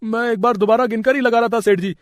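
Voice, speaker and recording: A man voicing a sly, fawning shop servant in an animated moral story, hesitant and full of excuses. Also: very high voice, fast, dry recording, studio quality, voice pushed up. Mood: alarmed, pleading.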